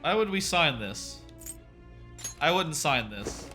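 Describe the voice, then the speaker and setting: Male streamer, slightly higher voice, wry rambling playthrough commentary over audible game music. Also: big pitch swings, slow pace, sharp tone.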